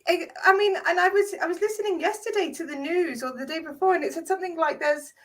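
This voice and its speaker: A woman's voice: very high voice, fast. A British woman hosting a casual live video chat, sounding congested with hay fever and chatting with easy laughs. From Britain. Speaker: host.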